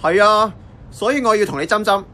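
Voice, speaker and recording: An actor playing an earnest, persuasive tea-restaurant boss with an animated Hong Kong Cantonese delivery in a radio drama. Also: male voice, sits high, brisk. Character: earnest, scheming small tea-restaurant boss rallying his staff.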